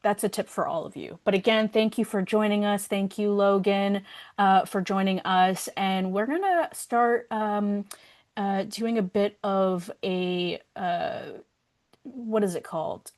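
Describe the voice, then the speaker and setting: A woman's voice: medium pitch, measured pace, a little mellow. Woman hosting an online workshop, super informal and chatty, keeps cutting herself off mid sentence. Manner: informal, chatty, self-interrupting. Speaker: workshop host and event organizer. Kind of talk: casual spoken housekeeping and announcements for an online workshop.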